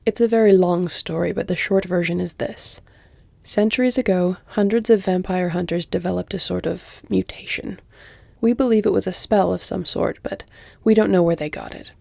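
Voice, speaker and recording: Woman acting a centuries-old female vampire, audio roleplay, dark mellow tone, very close dry sound, measured, teasing, breathy near-whispers. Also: mid-range voice, dry recording. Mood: explanatory, serious. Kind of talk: intimate audio roleplay, scripted dramatic acting. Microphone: close, dry studio-style mic.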